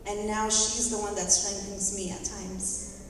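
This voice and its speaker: A woman's voice: medium pitch, level tone, takes it slowly, a little hiss or hum. Woman telling her testimony at church, earnest, short phrases, big echoey hall, sounds far off from the mic. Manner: earnest, heartfelt. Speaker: church member giving a testimony. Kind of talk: personal testimony in short phrases, paused for interpreting. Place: large church sanctuary.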